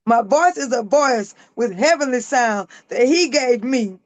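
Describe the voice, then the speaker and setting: Guest author, female, raspy and hoarse, emphatic spoken-word reading, dry close sound. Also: fairly high pitch, expressive, measured pace, dry recording. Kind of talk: reading her own poetry aloud.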